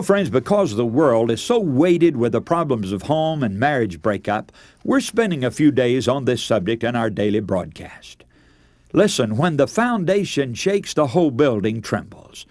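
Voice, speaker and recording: A man's voice: medium pitch, darkish tone, no echo. An American man preaching on the radio, speaking directly to listeners in an earnest, emphatic, admonishing manner. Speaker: radio preacher and broadcast host.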